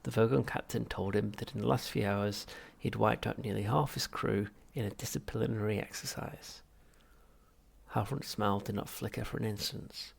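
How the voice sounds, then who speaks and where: A man's voice: fairly low pitch, fairly even intonation, moderate pace, creaky, slightly rough. A man reading comic science fiction aloud as scripted audiobook narration. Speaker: audiobook reader.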